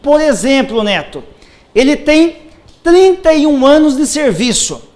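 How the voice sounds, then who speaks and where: A man's voice: very high-pitched, very animated, measured pace, darkish tone. A man working as a social security lawyer, methodically explaining pension rules step by step on a live TV program. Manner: methodical, lays out cases step by step.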